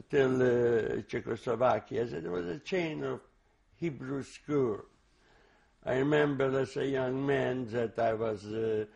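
An older man, a native Hungarian speaker, giving oral history testimony in a halting, reflective way with many restarts. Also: mid-range voice, creaky. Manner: slow, reflective recall. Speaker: interviewee.

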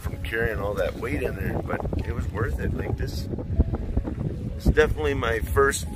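A man's voice: medium pitch, normal speed. A man in his forties in a packraft on a windy lake, chatting casually to an action camera in an easygoing, rambling way. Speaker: outdoor vlogger. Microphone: action camera mounted in front. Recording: heavy wind noise on the mic. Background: wind and splashing water.

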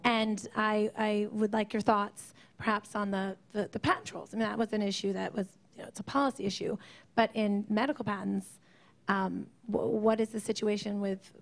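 Halting woman with a gravelly edge. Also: mid-range voice. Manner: halting, with many restarts. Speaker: audience questioner. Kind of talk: asking a question at a panel. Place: conference room. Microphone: handheld microphone.

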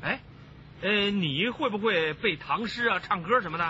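A man's voice: high voice, moderate pace, sharp tone. An actor playing a hearty, boastful building foreman in a radio drama, with an expansive, folksy, self-assured manner. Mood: confiding, opinionated. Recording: dull, noisy radio drama soundtrack.

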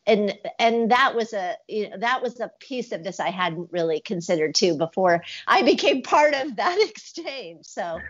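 A middle-aged woman interviewing in a conversational, reflective way, pausing in mid-phrase as she speaks. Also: mid-range voice, lively intonation. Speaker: interviewer, arts curator. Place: indoor room. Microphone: wired earphones on a video call. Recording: slightly dull video call audio.

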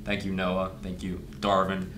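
A man's voice: sits low, normal speed, noisy background. A young man from Thailand, chatting animatedly about his band in a small studio. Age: young adult. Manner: animated, acts out quotes. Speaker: band frontman. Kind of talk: casual chat. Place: small studio room, indoors. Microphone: boom mic.

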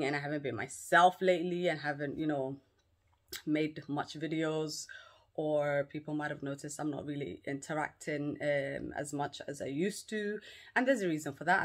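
A woman's voice: sits low, a bit bright. A young woman telling an emphatic, slangy story to her vlog audience. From London. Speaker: vlogger.

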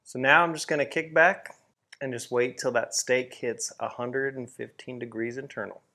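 A man in his thirties hosting a home grilling vlog, upbeat and hyped, who breaks into loud whoops. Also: mid-range voice, fairly even intonation, normal speed. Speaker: grilling channel host. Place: home kitchen and backyard.

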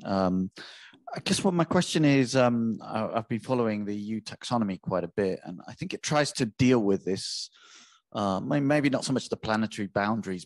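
Low gravelly man with a halting question. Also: no room sound. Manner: hesitant, repeats words while searching. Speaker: audience member asking a question. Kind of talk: question in a video call.

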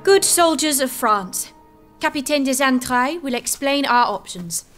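A woman's voice: high voice, lively intonation, a little slow, a bit bright, faint music behind. A woman playing a brave, devout young maiden commander in an audio drama, speaking formally and earnestly. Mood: resolute.

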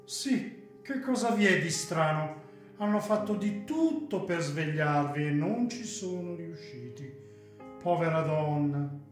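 A man's voice: on the high side, expressive, takes it slowly. A man acting a chatty, faintly condescending hotel waiter in a theatrical radio drama. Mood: teasing. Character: chatty, teasing hotel waiter, male adult. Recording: echoey room, faint guitar music behind.

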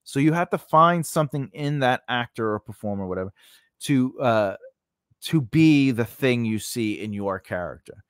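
A man's voice: medium pitch, animated, normal speed, clean tone, dry recording. Man teaching informally, dry close-mic sound. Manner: conversational, rambling, punctuated with "you know" and "right?". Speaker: host of a screenwriting lesson. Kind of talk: informal instructional talk. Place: small indoor home studio. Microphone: large condenser microphone on a boom arm, close up.

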